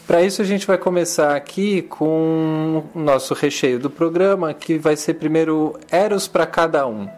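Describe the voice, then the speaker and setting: A man's voice: fairly high pitch, a little slow, hardly any pauses, a little mellow. A man in his thirties hosts a community radio talk, thinking aloud and restarting phrases as he searches for words. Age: 30s. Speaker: radio program host. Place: publisher's recording studio. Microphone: handheld recorder.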